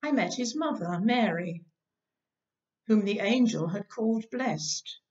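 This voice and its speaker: A woman's voice: medium pitch, lively intonation, takes it slowly, a little mellow. A woman reading a ballad poem aloud on a distant, dull recording. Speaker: church group leader reading aloud. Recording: distant, dull-sounding microphone.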